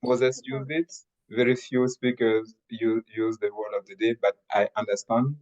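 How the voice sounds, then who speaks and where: Male evaluator, medium pitch, halting informal delivery, dull low-fidelity recording. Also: flat delivery, a little mellow. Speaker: grammarian, evaluator. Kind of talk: informal spoken feedback to a meeting. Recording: dull lo-fi audio.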